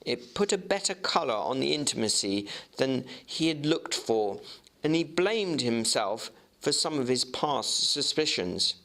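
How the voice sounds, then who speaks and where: A man narrating classic fiction in a theatrical, expressive way, as a dramatic reading. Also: mid-range voice, a little slow.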